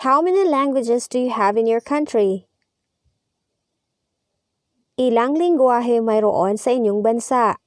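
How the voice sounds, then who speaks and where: A woman's voice: on the high side, expressive, measured pace, pauses often, dry recording, studio quality. A woman reading both parts of a scripted practice dialogue, each line followed by its translation. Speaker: language teacher.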